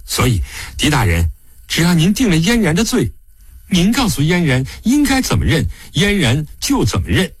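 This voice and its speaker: A man narrating an audiobook, voicing a sorrowful, devoted young woman prisoner who speaks softly, haltingly and pleadingly. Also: a little high, huge pitch swings, husky, dry recording, pitched above the performer's own voice, exaggerated voice.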